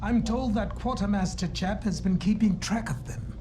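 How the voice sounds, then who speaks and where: A man's voice: high-pitched, normal speed, noisy background, natural voice. A male actor playing a courteous, absent-minded scientist in a video game, formal and hesitant, given to muttering to himself. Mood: polite, preoccupied. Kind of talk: video game cutscene acting. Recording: music behind the voice.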